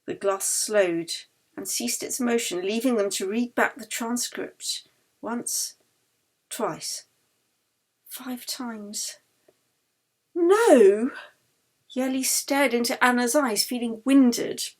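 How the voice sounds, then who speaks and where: A woman author reading her own novel aloud in a measured, expressive way. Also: a little high. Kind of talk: audiobook narration.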